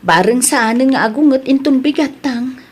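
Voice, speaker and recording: A woman's voice: sits high, animated, normal speed, slight background noise. A Filipino woman with an Ilocano accent playing a meek, apologetic young new employee in a comedy-drama, hesitant and deferential. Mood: weary, worried.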